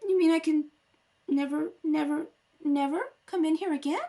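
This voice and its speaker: A woman's voice: high voice, steady tone, normal speed, warm tone, slight background noise. A woman playing a flighty, lovesick, spoiled young society girl in a radio comedy, with a gushing, childlike, pleading manner. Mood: coy chatter. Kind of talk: 1930s-style radio comedy acting. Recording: home recording with music.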